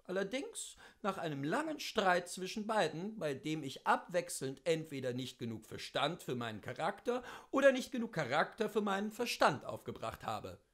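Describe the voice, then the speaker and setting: A man reading classic literature aloud in an expressive, theatrical way, with measured and carefully articulated phrasing. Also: sits high.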